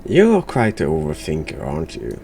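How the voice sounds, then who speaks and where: A man giving reactive gaming commentary in a gravelly voice, with dry, sarcastic, muttered replies. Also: very deep voice, wildly swooping pitch, normal speed, dark tone, a little hiss or hum. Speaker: game streamer, virtual YouTuber.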